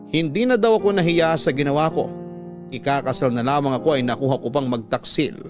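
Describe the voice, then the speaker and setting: A man narrating a listener's letter in the first person as a dramatic radio storyteller. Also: mid-range voice. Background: background music.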